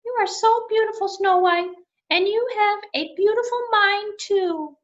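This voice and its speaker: A woman voicing a sweet, gushing little pet hedgehog in a squeaky, adoring voice, on a dull lo-fi recording. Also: unhurried.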